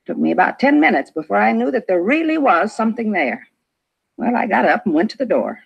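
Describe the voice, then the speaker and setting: A woman's voice: medium pitch, very animated, a little fast, creaky, slightly rough, exaggerated voice. An actress playing a shaken, talkative neighbor woman in an old radio crime drama, speaking in plain, folksy, clipped phrases. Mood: recounting, uneasy. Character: shaken, talkative older female neighbor giving a witness statement. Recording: dull, lo-fi vintage radio recording.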